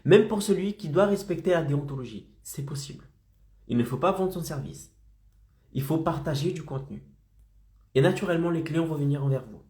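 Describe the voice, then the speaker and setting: Insistent coach with a slight cold. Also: male voice, a little high, lively intonation, fairly quick, mellow, echoey room. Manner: insistent, keeps checking in with "d'accord?" and "ok?". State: has a slight head cold. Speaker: marketing coach for freelancers. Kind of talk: motivational live stream talk.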